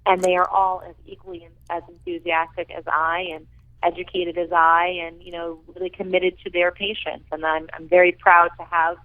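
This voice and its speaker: A woman's voice: fairly low pitch, little pitch movement, moderate pace. A woman guest on a radio interview, talking about her work with earnest enthusiasm and frequent ums. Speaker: rehabilitation director, guest. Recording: tinny phone line.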